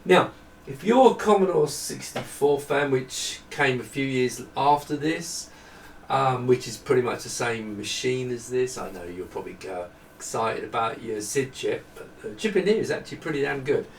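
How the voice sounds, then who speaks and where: The sound is roomy and a little distant, with faint noise behind it. A man with a creaky edge to his voice talks through a product demonstration. He is casual and unscripted, thinking aloud and putting himself down as he goes. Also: medium pitch, animated, moderate pace. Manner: rambling, self-deprecating, thinking aloud. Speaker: hardware designer demonstrating a product.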